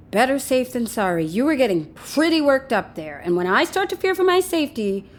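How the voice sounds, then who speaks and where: A woman's voice: sits high, exaggerated voice. A woman acting in a naturalistic audio drama as a breezy, unapologetic, sardonic woman who teases flippantly. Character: breezy, unapologetic woman with an experimental safety chip.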